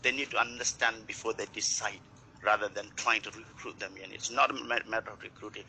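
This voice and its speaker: Earnest man from Nepal, bright voice. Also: fairly low pitch, steady tone, a little fast, slight background noise, rough recording. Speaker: network marketer. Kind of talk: casual group chat.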